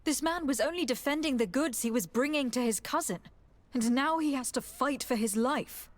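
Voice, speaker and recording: An actress playing a noble young diplomat adventurer in a fantasy game, formal, composed and earnest. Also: female voice, high voice, moderate pace, close to an everyday voice. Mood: questioning. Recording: reverberant hall, music underneath.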